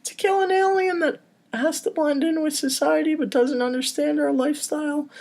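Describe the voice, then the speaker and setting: A man voicing a flustered police officer in a comedy script, in a squeaky, strained falsetto. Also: steady tone, moderate pace, creaky, a little mellow. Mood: exasperated, shrill.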